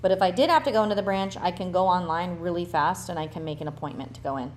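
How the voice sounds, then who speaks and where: A woman runs a casual live Q&A, reading viewers' comments and answering them off the cuff. Her voice sits on the lower side and her talk is chatty and loose, full of fillers and restarts. A faint hum sits under the stream. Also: fast. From born in Russia, grew up in the United States. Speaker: relocation consultant hosting a livestream Q&A.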